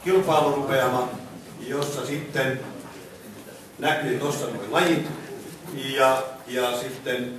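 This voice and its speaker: A man's voice: medium pitch, steady tone, long pauses, a crowd in the background. A man giving an informal presentation to a meeting. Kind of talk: informal presentation of a competition calendar. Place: indoor meeting room, camera in the audience. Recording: echoey meeting room, distant mic, noisy.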